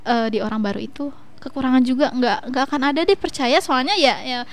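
A woman in her twenties talking as a guest on a casual podcast, relaxed, with hesitant fillers and frequent giggles. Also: high voice, fairly quick. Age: 20s. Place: coffee shop.

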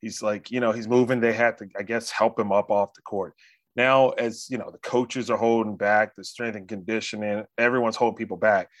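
An American man hosting a casual sports talk show, telling stories in an animated, slangy way with frequent check-ins to the listener. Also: on the low side, fairly quick, no room sound. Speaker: sports talk podcast host.

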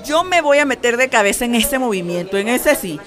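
Spirited, high-voiced Venezuelan woman activist. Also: lively intonation, fairly quick, a bit bright, soft background music. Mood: determined. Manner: emphatic, wry, colloquial. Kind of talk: radio drama acting.

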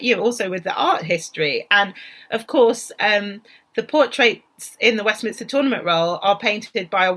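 A woman's voice: medium pitch, pushed and bright, slightly rough. A woman talking as a historian in a panel discussion, thinking aloud and often circling back over her phrases. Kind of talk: conversational expert discussion.

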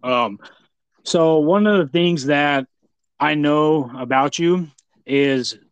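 A man's voice: a little high, slow pace, clean tone, dry recording, dull audio. An American man hosting a casual interview, talking effusively in a rambling way with many repeats. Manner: effusive praise, rambling, repeats "you know". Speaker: podcast host.

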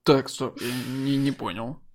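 A man's voice: mid-range voice, measured pace, crowd noise. A man hosting a casual chat who asks questions and teases his guest with jokes. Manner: teasing, joking questions. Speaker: host.

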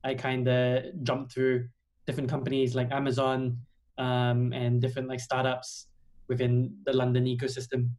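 Guest spot: young man from Australia, monotone, easygoing with filler words. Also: mid-range voice, moderate pace. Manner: relaxed, many ums and "kinda". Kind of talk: casual interview chat.

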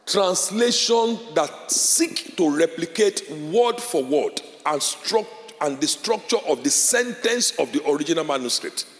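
Man, Yoruba speaker, pastor teaching a class, repeats key points, checks in often, long hall reverb. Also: medium pitch. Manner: explains step by step, checks in with "all right?" and "okay?"; repeats key phrases for emphasis. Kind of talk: classroom-style teaching talk.

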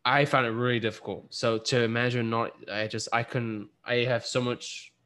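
A man's voice: medium pitch, steady tone, moderate pace, sharp tone. A man hosting a podcast in a mid-range voice, chatting loosely with his guest. He talks informally, drifting into anecdotes. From from abroad, living in the US. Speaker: podcast host. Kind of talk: casual interview chat.

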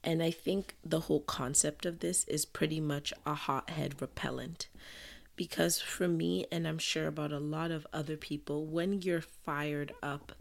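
A low-voiced woman talks through a casual solo podcast episode, her voice hoarse from a sore throat. Her delivery is gravelly, with a rough fry underneath. She speaks English as a second language and rambles in a friendly, conversational way. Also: steady tone, unhurried. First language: not English. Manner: chatty, conversational, rambling asides. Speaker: podcast host.

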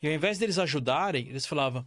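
A man with a Brazilian Portuguese accent talks fast and loose, full of slang. His voice is a bit high and the sound is dry and close. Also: animated, fairly bright tone, no echo. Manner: quick, slangy questions. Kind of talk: casual conversation, slangy.

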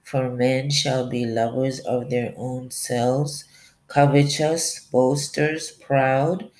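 Woman doing a podcast sermon, nasal and sniffly, talks real slow and earnest. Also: extremely low pitch, steady tone, a little mellow. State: stuffy nose, sniffling. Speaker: podcast preacher. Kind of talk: Bible reading and devotional talk.

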